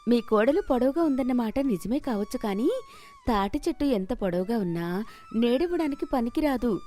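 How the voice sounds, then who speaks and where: A woman voicing a calm, witty, dutiful young daughter-in-law in an animated moral story, polite and composed. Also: a little high, soft background music, close to an everyday voice. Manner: composed, polite, quick-witted.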